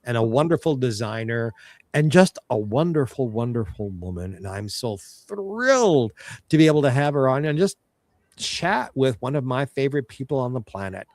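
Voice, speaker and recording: A man's voice: medium pitch, big pitch swings, normal speed, a little mellow, dry recording, clean studio sound. Theatrical male talk show co-host. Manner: theatrical storyteller, showbiz flair. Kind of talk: casual chat.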